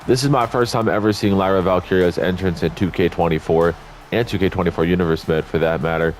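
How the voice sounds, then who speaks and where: A deep-voiced man talks through a wrestling video game match in a loose, rambling way, correcting himself as he goes. He sounds congested and tired, as if he has just woken up. Faint game music plays underneath. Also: fairly even intonation, fast. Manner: chatty, rambling asides, self-corrections. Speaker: YouTube gaming commentator. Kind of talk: casual play-by-play commentary over a wrestling video game.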